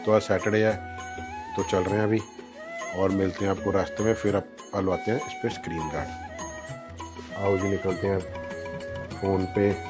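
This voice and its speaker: A man with a sore throat and a cold chatting casually to his viewers as he narrates his day. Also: low voice. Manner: chatty, addresses viewers directly. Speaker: vlogger. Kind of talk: casual daily vlog narration. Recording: music playing loudly behind.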